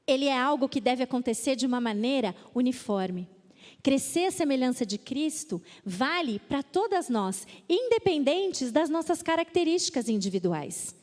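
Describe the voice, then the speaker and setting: A Brazilian woman with a high voice preaches to a women's conference, moving between earnest teaching and playful mock excuses. Her words ring out with the long reverb of a church hall. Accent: Brazilian Portuguese. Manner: lively, reads verses with emphasis, mimics excuses playfully. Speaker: conference speaker. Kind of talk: women's conference talk on scripture. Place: church auditorium.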